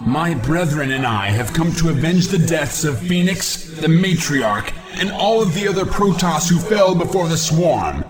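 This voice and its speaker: A man's voice: a little high, lively intonation, unhurried, hardly any pauses, a crowd in the background. A man playing a righteous alien warrior leader in a video game, with solemn, formal declamation. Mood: righteous anger. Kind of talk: dramatic video game acting.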